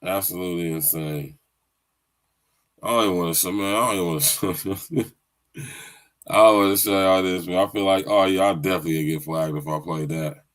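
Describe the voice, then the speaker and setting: A man's voice: low-pitched, steady tone, brisk, long pauses. A young man hosting a podcast, reacting to clips with incredulous, slangy asides and laughter. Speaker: podcast host. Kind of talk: reaction commentary.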